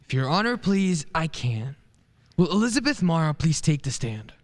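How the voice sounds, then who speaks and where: An amateur actor playing an earnest, hesitant defence lawyer in a radio play, courteous and halting. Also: medium pitch, sing-song, close to an everyday voice. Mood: courteous, confident.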